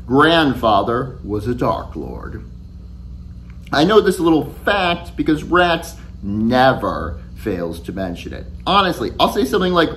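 A man in his forties reads a storybook aloud in a chatty, first-person storytelling manner. His pitch is mid-range and swings freely as he goes. The recording is made indoors with a faint noisy hum behind him. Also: a bit bright. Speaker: author reading own book aloud. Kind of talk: expressive storybook reading. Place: small indoor room at home with shelves.

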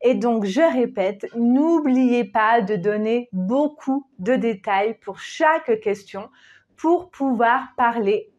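A woman in her thirties giving a patient, clearly spoken exam-prep lesson, reading a model answer slowly, on a lapel mic in a small plain room. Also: on the high side, muffled recording. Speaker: French teacher.

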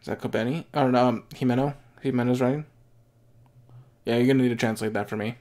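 A man's voice: medium pitch, fairly even intonation, quick pace, darkish tone. Young guy on a headset in his bedroom, rambling reactions as he watches, lots of restarts. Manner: thinking aloud, with restarts and asides. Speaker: anime reaction host. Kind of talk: casual reaction commentary. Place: bedroom at home, indoors.

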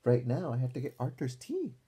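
A man's voice: mid-range voice, huge pitch swings, brisk, dark tone, breathy edge, exaggerated voice. A man voicing a caring, soothing old grandmother from a picture book about cartoon animals. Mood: gently firm.

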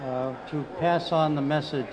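A man's voice: on the high side, fairly even intonation, moderate pace, a crowd in the background, low-quality recording. An older man with a Boston accent hosting a live radio show, talking upbeat like an announcer with many fillers, at a desk microphone. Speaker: radio host. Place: conference hall, indoors. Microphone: desk microphone, headphones.

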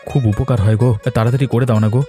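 A man as fast-talking, mellow, flustered cartoon husband. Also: medium pitch, flat delivery, faint music behind, lightly acted. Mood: hurried, pleading. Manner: flustered, pleading, deferential. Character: hurried, meek, sleepy young office husband. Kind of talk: cartoon fairy-tale voice acting.

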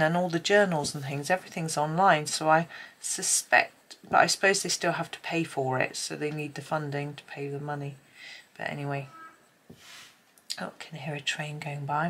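A low, gravelly British woman thinking aloud at a craft table, rambling hesitantly to whoever is colouring along at home. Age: middle-aged. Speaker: colouring tutorial host. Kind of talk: casual rambling chat while colouring. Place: indoors at a desk.

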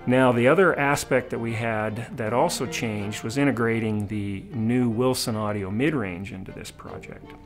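A middle-aged man, an engineering director, explaining technical work in a measured, formal way for a documentary interview. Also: medium pitch. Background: background music.

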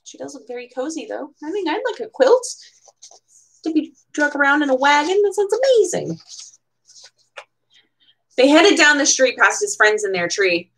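A woman's voice: sits high, big pitch swings, normal speed, long pauses, fairly bright tone, poor audio. A woman in her twenties with an American accent, reading a children's picture book aloud expressively, with casual chatty asides. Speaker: bookseller reading at a storytime event. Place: small room at home.

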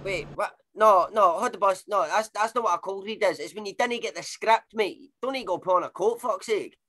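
A man's voice: high-pitched, a little fast, a bit bright, slight background noise. A man with a Glaswegian accent playing a blunt, slangy working-class actor who swears through his banter in a comedy sketch. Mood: baffled. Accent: Glaswegian Scottish. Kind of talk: comedy audio sketch acting.

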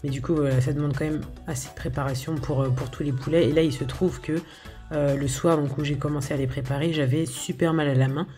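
A woman's voice: deep voice, fairly even intonation, brisk, warm tone, soft background music. A woman talking casually over a video of her home cooking, hesitant, with lots of filler sounds and restarts. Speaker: home cook showing her recipes.

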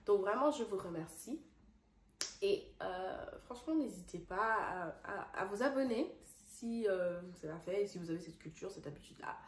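Young woman vlogger, Dutch native, chatty and halting, mid pitch, roomy phone sound. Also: expressive, noisy background. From Congolese parents, raised partly in the Netherlands. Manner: chatty, restarts often, slips into English words. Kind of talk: casual direct-to-camera chat.

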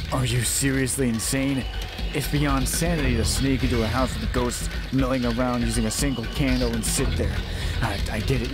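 A man reading a horror visual novel aloud as a let's player, often restarting and repeating phrases as he narrates. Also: medium pitch. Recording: music behind the voice. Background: game music.

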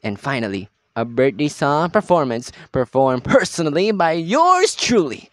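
A man's voice: fairly high pitch, very animated, normal speed, dry recording. A man voicing a brash, boisterous, big-hearted young oni gang leader from an anime game, cocky and showy in an amateur performance. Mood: proud, boastful. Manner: cocky, showy, playful delivery.

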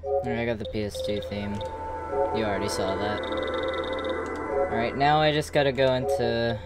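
A man's voice: mid-range voice, steady tone, measured pace, over loud music. A young man giving casual, informal gameplay commentary in a rambling way, recorded in a small room at home. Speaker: gaming video creator.

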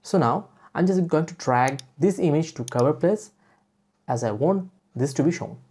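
Female tutorial presenter for screen-recording voice-over; very deep pitch, slightly husky, mellow. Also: expressive, moderate pace. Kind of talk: software tutorial narration.

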